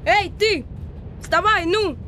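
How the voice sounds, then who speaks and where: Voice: very high-pitched, slow, bright, forceful tone, a little hiss or hum, full character voice. A performer playing a mocking street boy in a radio drama, barking taunts and slangy demands for money. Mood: bullying, demanding.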